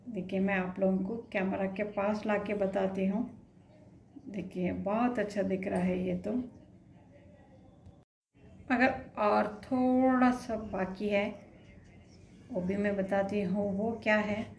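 A woman walks viewers through a snack recipe in her home kitchen, telling them what to do at each step. Her voice is warm and mellow and stays fairly level in pitch. A faint household hum sits under the recording. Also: steady tone, a little slow, pauses often. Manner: instructive, addresses viewers directly. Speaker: cooking channel host.

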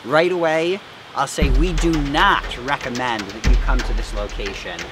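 A man's voice: mid-range voice, normal speed. A young man talking to the camera while he hikes a wooded hillside. He jokes and plays things up with mock drama, and there is music under his voice in the recording. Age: young adult. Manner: jokey, mock-dramatic, rambling. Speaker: host. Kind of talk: outdoor hiking vlog with voice-over narration.

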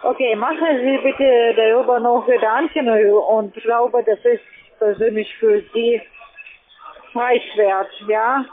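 Guy doing phone sales, super high voice, non-native german, stiff and formal, tinny call audio. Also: fairly even intonation, takes it slowly. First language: not German. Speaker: cold-calling telephone sales agent. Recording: thin, narrow-band phone line.

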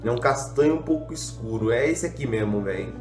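A man's voice: medium pitch, fast talker, a little mellow, reverberant, faint music behind. A young adult man giving casual, rambling gameplay commentary, full of slang and self-mocking jokes, a little congested from a cold. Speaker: gaming youtuber.

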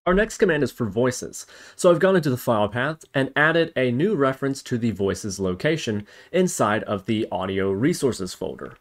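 A man's voice: mid-range voice, lively intonation, moderate pace, few pauses. A man narrating an instructional screencast as the presenter, explaining step by step in a walkthrough manner. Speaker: tutorial presenter.

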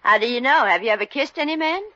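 A woman's voice: fairly high pitch, animated, fast, pushed and bright. An actress playing a wry, motherly older woman who delivers dry quips in 1940s radio comedy style. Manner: dry, quipping. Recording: muffled old radio recording.

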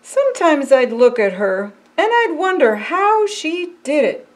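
An older woman, a pastor, reading a children's picture book aloud in a deliberate, expressive storytelling manner. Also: sits high. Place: indoors by a fireplace.

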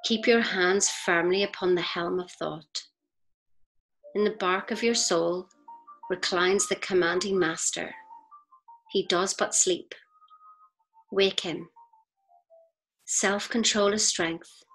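Female audiobook reader, slightly low register, measured delivery with deliberate pauses, faint music underneath. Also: steady tone, fairly bright tone, low-quality recording. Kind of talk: scripted narration of an inspirational essay.

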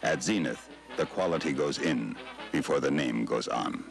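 Super deep gravelly guy reading a formal tv ad. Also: normal speed, over music. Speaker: commercial announcer. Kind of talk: formal scripted advertising read.

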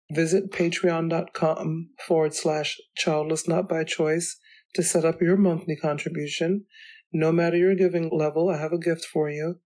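A woman hosting her own podcast, talking alone in a chatty, rambling way, her voice still slightly hoarse from illness. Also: deep voice, a little mellow. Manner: chatty, rambling, goes off on tangents. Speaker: podcast host. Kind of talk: solo podcast monologue. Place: home.

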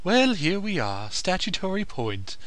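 A man's voice: high-pitched, huge pitch swings, a little slow, a bit bright, dry recording, voice pushed up, full character voice. Man putting on a suave, effete, flirtatious commander. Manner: suave, lingering, suggestive. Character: effete, smitten male army commander, smoothly flirtatious. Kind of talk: comedic anime parody dub.

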